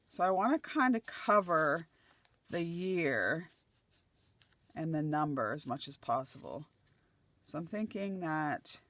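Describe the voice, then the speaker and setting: A middle-aged woman from Nova Scotia chats as she crafts, thinking aloud as she places each piece. Her voice is slightly croaky and dry. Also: low voice. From Nova Scotia, Canada. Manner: chatty, thinking aloud while gluing collage pieces. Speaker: craft channel host. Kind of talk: casual crafting chat.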